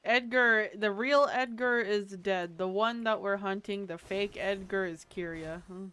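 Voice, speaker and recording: A woman's voice: medium pitch, a little slow, fairly bright tone, clear, resonant tone. A woman hosting a game session with casual reaction commentary, chatting with a friend. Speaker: gaming host.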